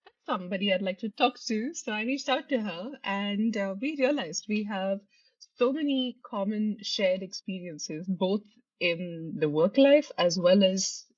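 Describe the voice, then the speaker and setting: A woman in her late thirties from India, co-hosting a casual podcast chat in a calm, measured way. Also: mid-range voice, no room sound. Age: late 30s. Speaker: podcast co-host. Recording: dry, dull lo-fi mic.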